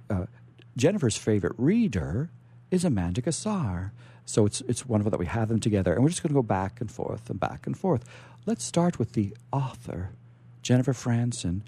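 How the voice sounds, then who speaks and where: A man's voice: on the low side, dark tone, slightly rough. A man hosting a radio storytelling show in a theatrical, playful, effusive showman's manner.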